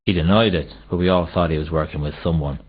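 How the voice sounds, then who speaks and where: Petty, shifty city guard, deep, hesitant, evasive, slow-witted, comic video game, muffled lo-fi sound. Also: male voice, steady tone, breathy edge. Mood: dim, curious. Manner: hesitant, evasive, whiny excuse-making. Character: shifty, dim, cowardly, petty male city watchman. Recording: dull, muffled old console game audio.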